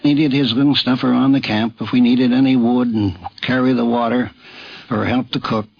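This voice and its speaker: A man's voice: medium pitch, steady tone, normal speed, creaky, husky, dull audio. A mature man giving a plain, genial reminiscence about a companion on a 1950s radio recording. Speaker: hunting companion, interviewee. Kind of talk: prepared reminiscence.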